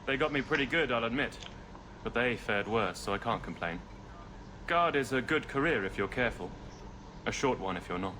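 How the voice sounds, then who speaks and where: Casting: actor as affable male city guard, fantasy game, medium pitch, plain-spoken and polite, noisy backdrop. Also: expressive, moderate pace, natural voice. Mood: friendly, puzzled. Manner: mild, good-natured, plain-spoken. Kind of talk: fantasy video game acting. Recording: game audio under clear noise.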